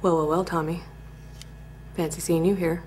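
A young woman playing a tough, rambling parole officer in a comedy monologue, menacing and halting. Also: lowish voice, flat delivery, darkish tone, soft background music, natural voice. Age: young adult.

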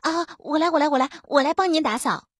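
A woman's voice: high voice, fairly quick, no room sound, studio quality, voice pushed up, natural voice. A woman voicing an eager, cheeky young female constable who wheedles and pleads in an ingratiating way. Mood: apologetic pleading.

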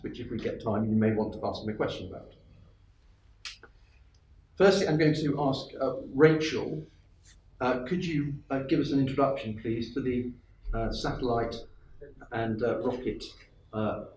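A man's voice: medium pitch, a little mellow. Middle-aged man moderating a panel. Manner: measured, with frequent fillers and restarts. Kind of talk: formal panel introductions. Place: panelled conference room. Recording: echoey room, distant mic, faint hiss.

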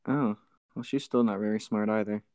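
A man's voice: lowish voice, fairly even intonation, brisk, a little mellow, clear, resonant tone, no room sound, studio quality. A man bantering casually as a co-host on a podcast.

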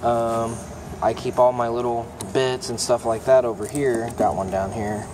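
A young mechanic casually showing his toolbox, talking in a relaxed, plainspoken way with plenty of ums and uhs. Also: medium pitch, measured pace. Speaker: mechanic giving a toolbox tour. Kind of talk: casual show-and-tell vlog. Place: auto repair shop, indoors. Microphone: phone held in hand, filming first-person. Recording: shop noise with air conditioning hum.

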